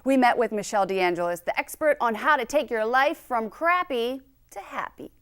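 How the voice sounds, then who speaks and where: A woman's voice: a little high, lively intonation, normal speed, a bit bright, clear, resonant tone. A woman hosting a local news show, reading scripted narration in an upbeat, chatty way.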